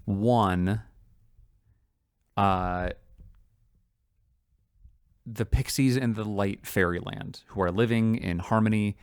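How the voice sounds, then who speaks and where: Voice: deep voice, no room sound, clean studio sound. A podcast co-host in a casual creative brainstorming chat, talking enthusiastically and often doubling back on words.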